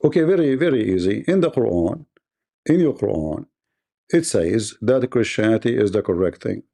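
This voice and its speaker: Combative host with a mellow, dark voice. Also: male voice, fairly low pitch, expressive, normal speed, creaky. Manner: combative, repetitive and quizzing. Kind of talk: live-stream debate.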